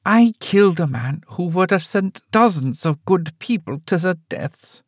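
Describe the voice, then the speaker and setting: A man voicing a quiet, resigned ninety-three-year-old woman. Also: sits high, animated, measured pace, no echo, clean studio sound, voice pushed up. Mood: resigned steady. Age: 90s. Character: elderly woman, ninety-three, sharp and guarded.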